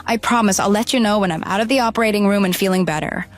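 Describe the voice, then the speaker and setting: A woman's voice: mid-range voice, quick pace, soft background music, natural voice. Female actor as lying ex-fiancée, mid-range voice, defensive and pleading, easily flustered, for an audio drama. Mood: evasive. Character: deceitful runaway bride, pleading, defensive and indignant. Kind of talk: dramatized phone dialogue.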